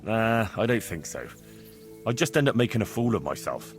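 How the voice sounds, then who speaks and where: An actor playing an easygoing, loyal young blacksmith's son in a medieval video game, casual, wry and sincere. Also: male voice, fairly low pitch, big pitch swings, moderate pace, soft background music. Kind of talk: naturalistic video game dialogue acting.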